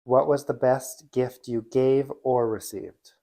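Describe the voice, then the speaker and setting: A man interviewing an artist, asking short rapid-fire questions off camera. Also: mid-range voice, steady tone, vocal fry, mellow. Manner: brisk, brief questioning.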